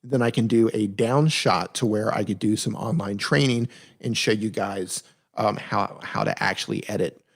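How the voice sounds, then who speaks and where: A man's voice: fairly low pitch, normal speed, vocal fry, husky. A man hosting a live-stream Q&A, giving plain-spoken, direct advice in a casual, conversational way and often correcting himself mid-sentence. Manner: reads viewer questions aloud, then answers conversationally. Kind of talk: casual live-stream chat answering viewer questions.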